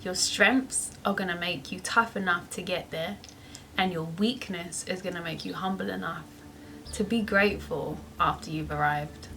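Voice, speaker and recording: A woman's voice: lowish voice, moderate pace, bright, forceful tone, slight background noise. A young woman vlogger who answers viewer questions in a chatty, upbeat way and laughs often. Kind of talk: casual Q&A vlog.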